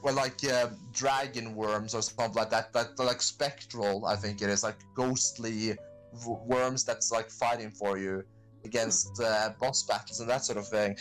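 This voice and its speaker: A man's voice: lowish voice, steady tone, measured pace, faint music behind, rough recording. A man guesting on a podcast in casual chat, rambling and hesitant with many fillers.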